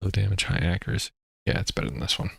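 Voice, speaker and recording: A man's voice: low voice, rapid-fire, gravelly. A young man streaming a game, telling childhood stories in a rambling, laid-back way while he plays. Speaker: gameplay streamer. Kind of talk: casual chat while playing.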